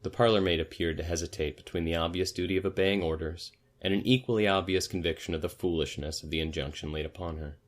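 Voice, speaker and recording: Low-pitched man reading a literary story. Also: fairly even intonation, fast, few pauses. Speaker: narrator, story reader. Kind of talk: literary audiobook narration.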